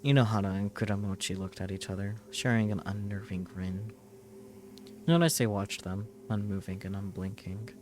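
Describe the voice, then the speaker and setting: A man streaming a visual novel, reading its narration aloud in a deliberate storytelling way. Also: fairly low pitch, a little mellow, slight background noise.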